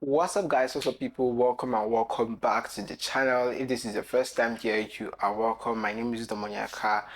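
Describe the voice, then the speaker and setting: A man's voice: medium pitch, steady tone, normal speed, few pauses, slight background noise. Earnest nineteen-year-old man vlogging. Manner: earnest, rambling, leans on "basically" and "you know". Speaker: content creator. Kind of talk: reflective personal vlog talk.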